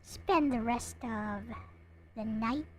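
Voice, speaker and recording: A woman's voice: fairly high pitch, takes it slowly, slight background noise. A woman livestreamer chatting casually to her viewers in a hesitant, rambling way. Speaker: live streamer, host.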